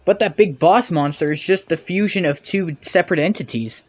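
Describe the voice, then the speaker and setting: A man's voice: sits high, normal speed, a little mellow, soft background music. A man giving critical video game commentary in a sarcastic, nitpicking manner.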